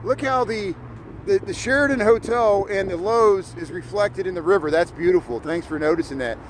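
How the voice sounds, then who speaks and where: A man's voice: high voice, moderate pace. A middle-aged man from Indiana with a mixed Chicago-Midwestern accent, chatting casually with his viewers while walking city streets on a live stream, often repeating words. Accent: Chicago-Midwestern mix. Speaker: livestream host. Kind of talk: live walking tour chat. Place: outdoors on snowy city streets. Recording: street noise behind. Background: traffic and city noise.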